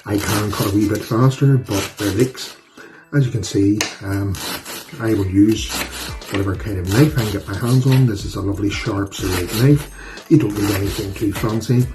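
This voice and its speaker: A middle-aged man with a Northern Irish accent hosts a casual cooking video from his home kitchen. His voice sits on the low side, and he talks in a chatty, self-deprecating, down-to-earth manner. Music plays clearly behind him. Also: normal speed. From Northern Ireland. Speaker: cooking channel host.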